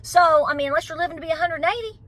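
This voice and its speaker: High, bright Appalachian Southern woman. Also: rapid-fire. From United States. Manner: emphatic, exhorting, folksy. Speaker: homesteading vlogger. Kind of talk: casual motivational vlog talk.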